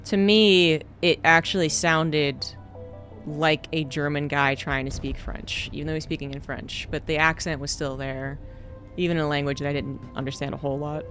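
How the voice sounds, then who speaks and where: A man's voice: a little high, moderate pace, soft background music. A man streaming a game, chatting casually and rambling with lots of fillers. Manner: rambling, relaxed, many fillers. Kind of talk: casual gameplay chat.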